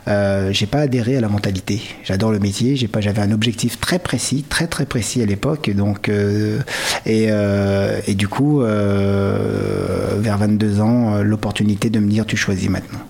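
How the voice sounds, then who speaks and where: A man in his forties from France talks as a guest in a radio interview. His voice sits fairly low. He speaks reflectively and hesitantly, often restarting and rewording his thoughts. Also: steady tone, moderate pace, gravelly, darkish tone. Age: 40s. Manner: hesitant, reflective, often rephrasing mid-sentence. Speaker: radio guest, performing company director. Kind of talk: conversational interview.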